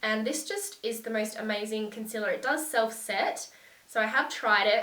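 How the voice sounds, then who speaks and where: A woman's voice: mid-range voice, pushed and bright, distant mic. A young woman with a congested, nasal voice from a cold, gushing about beauty products in a casual vlog. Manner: gushing, enthusiastic. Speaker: beauty vlogger. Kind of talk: casual product review to camera. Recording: echoey room, a bit off mic.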